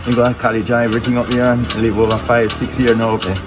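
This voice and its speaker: A man's voice: mid-range voice, little pitch movement, fast talker, noisy background. Middle-aged man in Jamaican Patois. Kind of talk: casual street interview.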